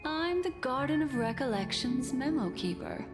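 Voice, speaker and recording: An actress voicing a poised, mysterious keeper of memories in a video game, composed and deliberate. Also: female voice, high-pitched, animated. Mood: composed, probing. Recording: long reverb over background music.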